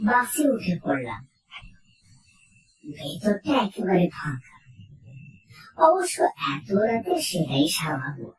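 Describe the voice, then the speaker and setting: Slow, animated woman telling a horror story. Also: on the low side. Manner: suspenseful, theatrical, with dramatic pauses. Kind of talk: dramatic first-person horror narration. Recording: roomy echo, distant from mic.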